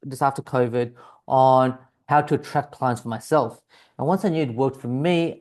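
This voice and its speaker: Australian-accented man pitching to camera. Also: darkish tone. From South Africa, raised in Australia. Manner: conversational, repeats key phrases, frequent fillers. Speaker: business coach.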